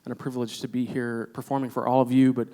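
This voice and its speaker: A singer from Puerto Rico talking earnestly between songs on a concert stage, pausing often with fillers. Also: male voice, mid-range voice, little pitch movement, dark tone. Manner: earnest, halting, with many fillers. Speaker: guest singer.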